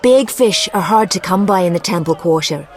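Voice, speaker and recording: A woman's voice: fairly low pitch, animated, moderate pace, close to an everyday voice. A woman playing a flirtatious, worldly gambler with a coy, teasing manner, in a video game. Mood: conspiratorial.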